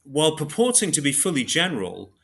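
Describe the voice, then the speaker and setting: A man speaking as an academic interview guest, explaining in a measured, scholarly way and pausing to search for words. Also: on the high side, big pitch swings, vocal fry, a bit bright.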